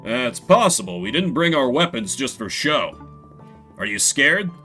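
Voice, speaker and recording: A man voicing a calm, polite, protective older mouse brother in video game dialogue, with formal, measured phrasing. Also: medium pitch, faint music behind. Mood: reassuring.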